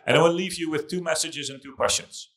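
A middle-aged man giving a keynote speech to an audience through a handheld microphone, with a halting delivery and repeated words. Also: a little high, a bit bright. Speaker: conference keynote speaker, investment fund chief executive. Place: large indoor conference hall stage.

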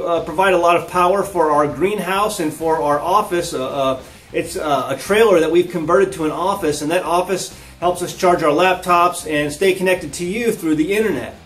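A man's voice: high voice, moderate pace, soft background music. An American man in his thirties hosting a homesteading vlog, casually explaining a product to viewers. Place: living room with wood floor and large windows. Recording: roomy indoor echo.

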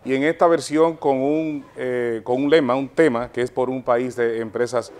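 Dominican man, 40s, business spokesman, measured soft talk, mid-pitched, lapel mic in studio. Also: steady tone, smooth. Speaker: chamber of commerce representative. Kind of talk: formal guest interview. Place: TV studio set.

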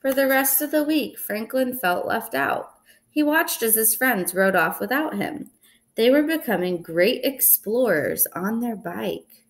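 A young woman reads a picture book aloud at an unhurried pace. Her pitch rises and falls in a lively way, with a deliberate, expressive delivery suited to children. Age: young adult. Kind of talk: storybook read-aloud. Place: indoors in a small room at home.

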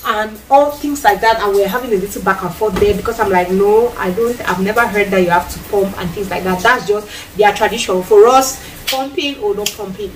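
A woman's voice: medium pitch, recorded from a distance, poor audio. A woman in her thirties with a Cameroonian accent, chatting casually to camera while she cooks in a small tiled kitchen. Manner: animated, lots of hand gestures and acted-out quotes. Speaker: vlogger. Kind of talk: casual chat while cooking. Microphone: camera a few feet away.

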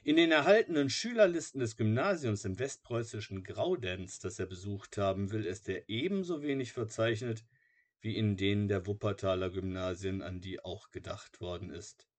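A man narrating a biography from a script in a measured, matter-of-fact way, like an audiobook. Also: lowish voice, expressive.

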